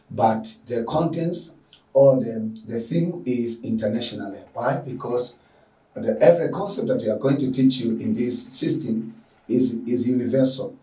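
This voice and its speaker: A man's voice: medium pitch, mellow, echoey room, recorded from a distance, rough recording. A man from Tanzania giving an effusive, filler-heavy welcome as an online lecturer. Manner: effusive, repetitive, with frequent fillers. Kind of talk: lecture introduction.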